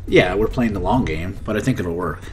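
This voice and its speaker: A man's voice: sits low, expressive, a little fast, darkish tone, faint music behind. A man in his forties teaching English with matter-of-fact definitions and scripted dialogue.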